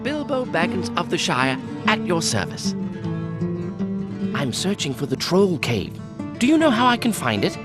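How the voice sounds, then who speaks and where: A man's voice: sits high, huge pitch swings, unhurried, over loud music, full character voice. A man voicing an eager, polite hobbit in a video game, with an earnest, theatrical way of talking.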